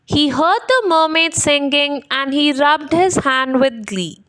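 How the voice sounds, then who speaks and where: A woman's voice: high voice, expressive, slow pace, a bit bright. A woman reading a rhyming picture book aloud for children. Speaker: children's story reader.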